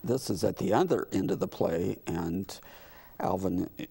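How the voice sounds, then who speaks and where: Low, gravelly American man, casually explaining. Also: normal speed, warm tone. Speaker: community theater representative, talk show guest. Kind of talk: casual interview talk.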